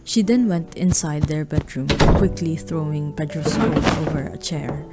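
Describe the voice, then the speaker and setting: Low, creaky woman narrating a story. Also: moderate pace, over loud music. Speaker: narrator of a radio drama.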